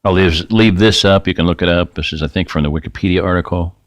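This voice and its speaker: A middle-aged man hosting a rambling, folksy screen-share talk, his voice getting raspy and dry. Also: low voice, quick pace, no room sound. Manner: rambling, folksy, self-interrupting. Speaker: video host.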